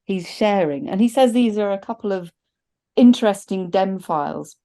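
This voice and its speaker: A middle-aged woman with a soft, mellow tone speaks as a reviewer in a relaxed panel chat. She sounds enthusiastic and keeps it conversational, recorded indoors over a video call. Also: medium pitch, normal speed.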